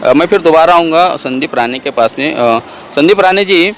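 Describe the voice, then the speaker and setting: A male reporter with an Indian accent speaks in a somewhat high voice, pressing his interviewees with challenging questions. Light outdoor street and vehicle noise sits behind him. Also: lively intonation, normal speed, a bit bright. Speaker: news reporter interviewing people. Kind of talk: on-the-spot news interview questioning.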